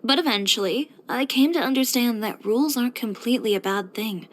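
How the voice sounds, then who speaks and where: A woman's voice: fairly high pitch, brisk, close to an everyday voice. An actress playing a headstrong, composed young woman who runs a boutique, speaking frankly and with dry confidence, as video game character voice lines. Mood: frank, defiant. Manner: matter-of-fact, frank, dryly confident delivery.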